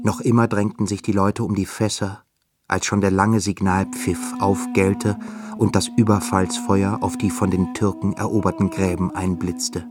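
An actor playing a solemn literary narrator of a war epic in a German radio play. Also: male voice, deep voice, level tone, moderate pace, lightly acted. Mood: grave, dramatic. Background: music.

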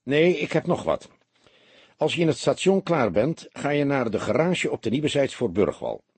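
Man reading as a husky, impatient police detective. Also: medium pitch, big pitch swings, darkish tone, no echo, pitched above the performer's own voice, heavily acted. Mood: businesslike, instructing. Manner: brisk orders and probing questions. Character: impatient, commanding male police detective, gruffly brisk. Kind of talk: audiobook character dialogue.

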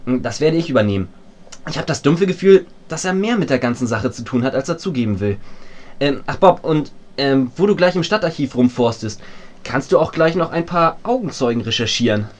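A man's voice: medium pitch, animated, brisk, lightly acted. A man playing a bossy, self-important teenage boy detective in a parody radio play, with a pompous, deliberating delivery. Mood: commanding.

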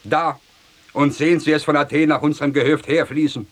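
Ein Schauspieler spielt in einem komischen Hörspiel einen gehorsamen, wortreichen, trocken besorgten Sklaven. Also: male voice, fairly high pitch, little pitch movement, fairly quick, natural voice. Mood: worried. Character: obedient, wryly worried male slave of a vintner. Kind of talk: comic stage-style radio drama dialogue. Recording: 1969 German radio play.

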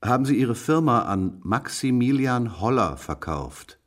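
An actor playing a brisk, persistent police detective in a German radio drama, with a businesslike, probing manner. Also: male voice, mid-range voice, very animated.

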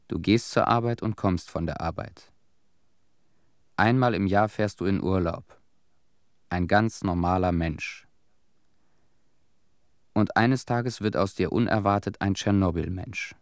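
Earnest survivor, ordinary man, low voice, embittered, solemn testimony, radio drama, very dry recording. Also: a little slow, pauses often, dry recording. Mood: earnest, bitter.

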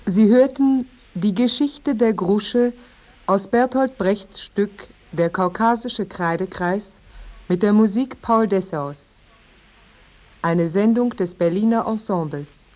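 A woman's voice: mid-range voice, darkish tone, low-quality recording. A woman radio announcer reading scripted credits in a formal manner.

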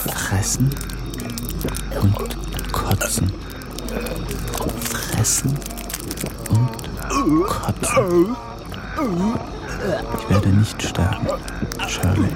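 An actor playing a defiant, self-destructive young outcast in a radio drama, with a gravelly voice and heavy delivery. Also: male voice, lowish voice, exaggerated voice. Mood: grim, brooding. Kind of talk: German radio drama monologue. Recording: loud music over the voice.

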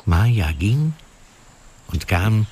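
A man's voice: fairly low pitch, very animated, very slow, a little mellow, a little hiss or hum. A man narrating a scripted fantasy story in a radio play. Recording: music under the voice.

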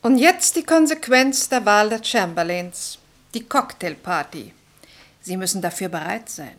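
A woman's voice: medium pitch, animated, unhurried, a bit bright. An actress playing a wise, decisive society lady in a radio play, with a composed, formal and declarative delivery. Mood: resolute. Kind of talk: German radio play acting.

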